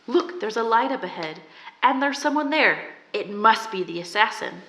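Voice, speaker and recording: A woman's voice: fairly high pitch, animated, normal speed, fairly bright tone. A woman playing a plucky amateur sleuth in a radio drama, earnest and old-fashioned. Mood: alarmed. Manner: earnest, breathless, old-fashioned heroine talk. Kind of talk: 1940s radio drama acting. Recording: noisy background hiss.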